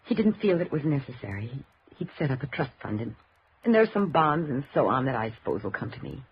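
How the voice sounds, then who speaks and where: An actress playing a suspicious, grieving daughter in a 1950s radio drama, halting and emotionally insistent. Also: female voice, rumbling low, big pitch swings, husky, full character voice. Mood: bitter, suspicious. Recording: dull, noisy old radio recording.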